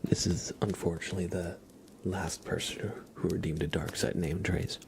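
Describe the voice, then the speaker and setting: Casting: male ASMR livestream host, very low and creaky, playful, whispering softly to viewers. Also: flat delivery, noisy background. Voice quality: breathy, often whispered. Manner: playful, unhurried, talks to his cat.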